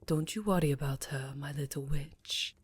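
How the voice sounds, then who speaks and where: A woman playing an elegant, affectionate yet guarded vampire countess in intimate roleplay ASMR, with a formal, theatrical, breathy murmur. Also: low voice, moderate pace, no echo. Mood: tender, flirtatious. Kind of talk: roleplay ASMR acting, intimate address to listener.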